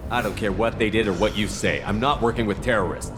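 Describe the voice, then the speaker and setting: Man acting a tough game commander, fast delivery, blunt, music behind. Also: lowish voice, close to an everyday voice. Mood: wary, defiant. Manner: blunt, decisive. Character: no-nonsense, tough male military commander.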